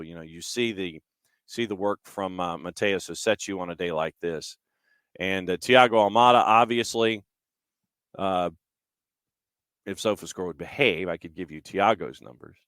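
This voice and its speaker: Clear-voiced man hosting a soccer show. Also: lowish voice, fairly bright tone, no room sound. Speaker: sports show host. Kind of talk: conversational match analysis and stats rundown.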